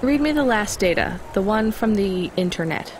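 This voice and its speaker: A woman's voice: medium pitch, expressive, normal speed, noisy background, natural voice. A woman playing a young courier driver in a video game, with a dry, skeptical, understated delivery. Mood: dry, curious. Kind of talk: naturalistic scripted game acting.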